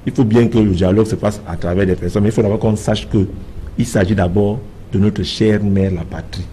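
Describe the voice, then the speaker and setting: A man's voice: fairly low pitch, fairly even intonation, brisk, dark tone, a little hiss or hum. A man with a Cameroonian French accent in a televised political debate, speaking emphatically and insistently and repeating phrases to drive his point home. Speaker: political party member as debate panelist.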